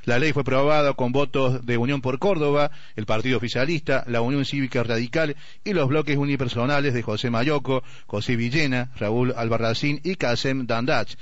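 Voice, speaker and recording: A man's voice: mid-range voice, fairly even intonation, normal speed, no echo. An Argentine man working as a radio news reporter, reading a scripted report. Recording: dry, slightly dull radio report.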